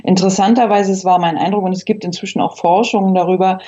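Female interviewee, fifties, from Berlin. Warm, relaxed timbre, reflective recollection, somewhat dull sound. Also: medium pitch, fast talker. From Berlin, East Germany. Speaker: interview guest, eyewitness.

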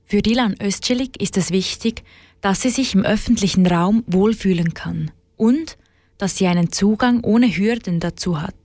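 A woman reporter reading a scripted radio news segment in an even tone. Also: mid-range voice, moderate pace, no room sound.

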